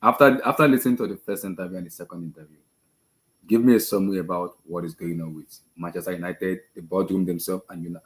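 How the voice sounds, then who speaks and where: A man hosting a casual football discussion, giving opinions emphatically and repeating his phrases for effect. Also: low-pitched. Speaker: host of a football discussion show. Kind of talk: casual opinionated sports chat.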